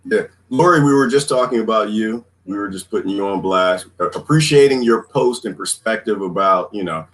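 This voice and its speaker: A man's voice: lowish voice, normal speed, poor audio. An American man hosting a casual group chat on a livestream. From United States. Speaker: livestream panel host. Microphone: online group call. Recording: steady background noise on livestream call.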